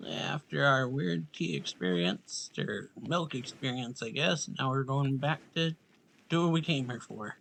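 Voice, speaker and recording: A man giving casual, offhand gameplay commentary in a low-key way. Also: expressive, measured pace, gravelly, fairly bright tone, slight background noise. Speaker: gamer, let's play host. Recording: game music behind the voice.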